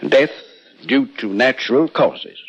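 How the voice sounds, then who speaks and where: A man's voice: mid-range voice, a little slow, breathy edge. An actor playing a formal, probing male doctor in an old radio drama. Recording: muffled old radio recording.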